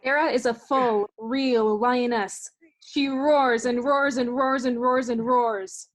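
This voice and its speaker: A woman's voice: fairly high pitch, steady tone, slow, low-quality recording. A woman reading stage directions as dramatic scripted narration over a video call.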